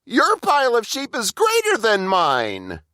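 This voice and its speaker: A man's voice: extremely high pitch, huge pitch swings, a little slow, pushed and bright, smooth, no room sound, clean studio sound, pitched above the performer's own voice, heavily acted. A man voicing a whiny, indignant younger brother monster for a children's storybook, nagging and scolding. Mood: whining. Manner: whining, scolding.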